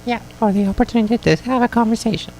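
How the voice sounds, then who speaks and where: A woman from Syria, a radio guest who speaks hesitantly with many pauses. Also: a little high, fairly even intonation, darkish tone, a little hiss or hum, low-quality recording. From Aleppo, Syria. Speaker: activist and guest. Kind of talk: radio interview. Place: radio studio.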